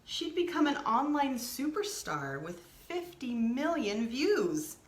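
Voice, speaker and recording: A woman reading a picture book aloud to young children, expressively, pausing to add simple explanatory asides. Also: high-pitched, very animated, echoey room. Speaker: storytime reader.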